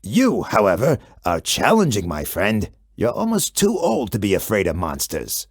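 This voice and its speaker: Woman acting a male storybook monster, deep growl, slow, teasing menace, hungry, wildly swooping pitch. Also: huge pitch swings, husky, no echo, clean studio sound, full character voice. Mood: fond, grumbling.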